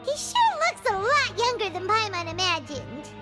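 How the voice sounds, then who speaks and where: Small excitable companion girl, very high voice, lively swoops, third-person chatter, game cutscene. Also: moderate pace, faint music behind, full character voice. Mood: curious. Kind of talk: video game cutscene dialogue.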